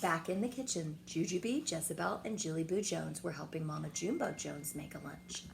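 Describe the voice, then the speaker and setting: A woman reading a children's storybook aloud in an expressive storytelling manner. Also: low-pitched, a little fast, creaky. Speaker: storybook reader. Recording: some background noise.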